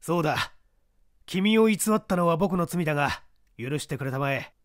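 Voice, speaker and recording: A man's voice: a little high, animated, moderate pace, lightly acted. A man voicing a flustered, effusive older detective. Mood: apologetic. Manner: old-fashioned lordly speech, flustered and effusive. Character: excitable middle-aged male private detective.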